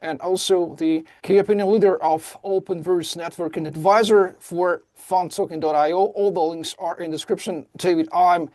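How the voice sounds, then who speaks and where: A man who speaks Russian natively hosts a podcast interview, enthusiastic and a little halting in his phrasing. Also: high-pitched, fairly even intonation, a little mellow, no echo. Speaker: podcast host. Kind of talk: casual interview hosting.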